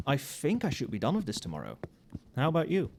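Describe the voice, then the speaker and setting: A man playing a tipsy, friendly professor in a casual ASMR roleplay, speaking softly and muttering as if to a colleague. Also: mid-range voice, huge pitch swings, quick pace, vocal fry, background noise. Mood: caring, insistent. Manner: soft-spoken, muttering. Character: tipsy, friendly, conscientious male magic-university professor working late.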